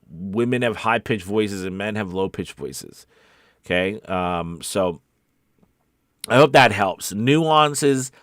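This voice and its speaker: A man's voice: on the low side, no echo, clean studio sound. A man working as a voice-over coach, talking informally and encouragingly in a loose, rambling, conversational way. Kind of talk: informal live coaching session.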